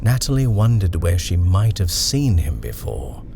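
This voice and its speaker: A man narrating a horror short story in a measured, expressive reading style. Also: sits low, gravelly.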